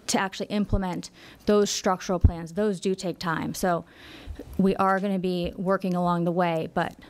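Low-voiced woman at a council desk mic. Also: flat delivery, a little mellow. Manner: measured, apologetic, many fillers. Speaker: company representative. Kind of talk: public meeting presentation. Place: town council chamber. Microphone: desk microphone.

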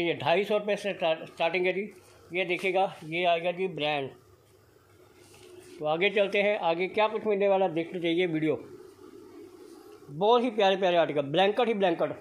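Upbeat, high-voiced shop vlogger man. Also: a little hiss or hum, poor audio. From Punjab, India. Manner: upbeat, repetitive hard-sell patter. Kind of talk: excited sales walkthrough. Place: indoor blanket shop.

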